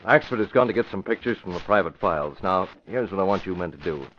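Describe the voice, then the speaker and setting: A young, authoritative newspaper publisher turned crime fighter in 1940s radio style. He talks fast and gives brisk, urgent orders. The old broadcast is muffled with some noise behind it. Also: low-pitched, expressive. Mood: brisk, commanding. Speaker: radio drama actor.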